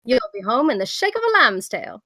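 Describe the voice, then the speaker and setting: A fairly high female voice, close and dry, an actress playing a mischievous smuggler in a fantasy drama read. She sounds sardonic and teasing. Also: very animated, fairly quick, sharp tone, no echo, exaggerated voice. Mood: sly, teasing. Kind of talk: screenplay table read.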